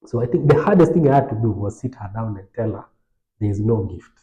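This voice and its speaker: Low-voiced man sharing a story. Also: expressive, fast, dark tone, reverberant, muffled recording. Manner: anecdotal, light laughs, mixes in Swahili phrases. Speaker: podcast host. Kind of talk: casual podcast chat.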